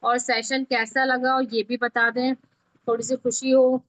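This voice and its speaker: A woman's voice: high voice, flat delivery, measured pace, fairly bright tone, no echo. A woman instructor giving an informal live online tutorial, explaining step by step and often checking that learners follow. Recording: dull lo-fi online call audio.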